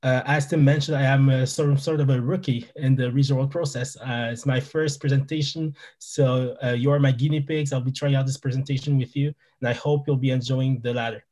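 A man's voice: medium pitch, steady tone, fairly quick, few pauses, a little mellow. A young man from Quebec with a French-Canadian accent, a native French speaker, giving a friendly presentation as a biologist over a video call with a headset, with many fillers. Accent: Québécois French. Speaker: wildlife biologist guest. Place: small room indoors.

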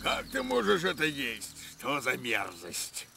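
An actor playing a sly, sardonic explorer with a drawling, mocking delivery in an animated adventure dub. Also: male voice, mid-range voice, slow pace, gravelly, soft background music, exaggerated voice. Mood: oily, mocking. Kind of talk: animated adventure series dubbing.

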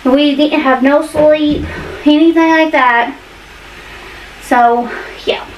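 A woman's voice: high voice, slow, highs cut off. A woman in her thirties with a Southern US accent, chatting casually and folksily to the camera while she cleans at home. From United States. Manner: folksy, colloquial. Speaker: vlogger. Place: indoors in a cluttered home hallway. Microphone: camera mic, arm's length to a few steps away. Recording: distant microphone with noticeable room noise.

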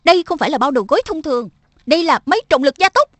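A woman's voice: high voice, sing-song, rapid-fire, fairly bright tone, dry recording. A woman voicing a clever boy in a cartoon dub who eagerly explains his invention. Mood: explaining. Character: clever boy, older brother, inventor of gadgets.

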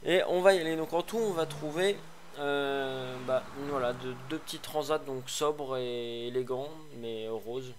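A man hosting a casual game review, slightly hoarse, rambling with fillers and restarts, tossing in sarcastic asides. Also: mid-range voice, unhurried, slight background noise. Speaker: gaming video host.

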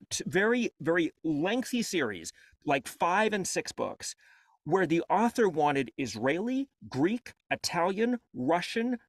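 Animated guy narrator, voice jumps all over, talking on a video call from his foam-lined home studio, dry sound. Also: high voice, no echo. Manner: expressive, gestures a lot. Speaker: audiobook narrator. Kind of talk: animated panel talk. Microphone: earbud headset on video call.

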